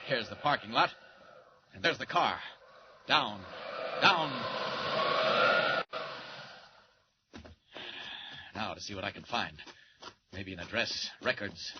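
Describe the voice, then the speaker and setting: An actor playing an earnest young reporter in a 1940s radio drama, asking brisk, concerned questions. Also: male voice, fairly low pitch, natural voice. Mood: determined. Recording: dull noisy old radio transcription.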